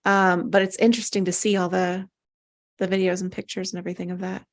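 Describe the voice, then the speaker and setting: A woman's voice: on the low side, little pitch movement, fast. A woman hosting a casual group chat in an informal, blunt way. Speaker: host of table read.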